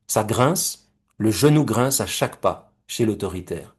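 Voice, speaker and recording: A middle-aged man from Belgium and Rwanda giving a recorded talk, measured and explanatory, with homely comparisons and questions to the listeners. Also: lowish voice, animated, husky. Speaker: speaker presenting his conflict-resolution method.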